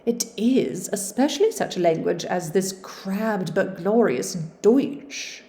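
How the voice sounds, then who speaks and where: A woman's voice: medium pitch, lively intonation, slow, dark tone, roomy sound. A woman voicing two refined, studious young sisters in a storybook reading, with well-spoken dialogue. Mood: polite.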